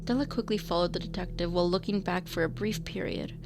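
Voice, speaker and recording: Woman narrator with a creaky voice. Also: lowish voice, quick pace, music in the background. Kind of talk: scripted radio drama narration.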